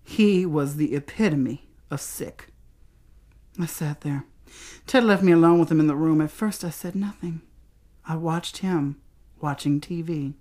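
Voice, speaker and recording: Low-voiced American woman, dramatic spoken-word storyteller. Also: dark tone. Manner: theatrical, with dramatic pauses and emphasis.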